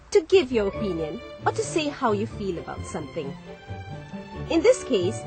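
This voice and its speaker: Animated high-voiced woman presenting a lesson. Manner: instructive, emphatic teacher-like questioning. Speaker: educational TV presenter. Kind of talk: scripted lesson narration.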